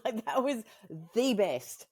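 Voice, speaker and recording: A woman's voice: a little high, darkish tone, husky, no echo. Aussie woman chatting as an interviewer, loose and informal, very up and down voice, dry sound. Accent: Australian. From Australia. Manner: informal, loose, self-deprecating. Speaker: interviewer and indie fiction podcaster.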